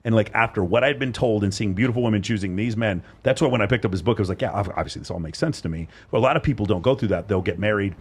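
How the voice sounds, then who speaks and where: Male podcast host, thirties, husky and low, rapid emphatic delivery with restarts. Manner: fast, emphatic, repeats words as he restarts. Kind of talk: casual panel discussion.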